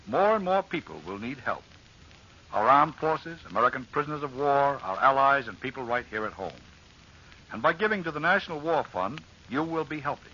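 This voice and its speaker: A man's voice: a little high, sharp tone, husky. A man reading an earnest, formal 1940s radio public-service appeal, on a muffled old recording. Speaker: radio director making a charity appeal.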